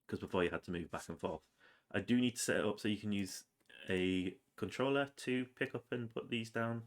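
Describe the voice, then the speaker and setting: A man with a cold, casually narrating his game's progress over gameplay with plenty of ums and uhs. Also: low-pitched. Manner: relaxed, rambling with many ums and uhs. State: has a cold, sniffly. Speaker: game developer.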